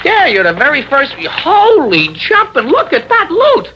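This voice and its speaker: A man's voice: very high voice, wildly swooping pitch, a little slow, sharp tone, exaggerated voice. An actor in an old-time radio drama playing a nervous, gullible New delivery man for crooks, stammering in street slang. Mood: awestruck. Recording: dull old radio broadcast recording.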